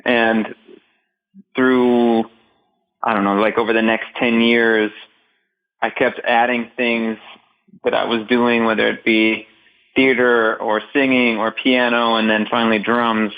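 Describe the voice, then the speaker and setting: A man's voice: medium pitch, little pitch movement, unhurried, long pauses, clear, resonant tone. Upbeat male guest on a dull line. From Northeast Ohio. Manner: upbeat, joking. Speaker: guest drummer. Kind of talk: casual interview chat. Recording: slightly dull remote audio.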